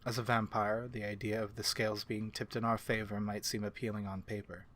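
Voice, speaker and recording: A man voicing a calm, courteous vampire in a soft-spoken ASMR fantasy roleplay, with measured, formal and gently reassuring speech. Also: on the low side, slight background noise, close to an everyday voice. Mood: grave, reflective. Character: calm, courteous male vampire ally to humans.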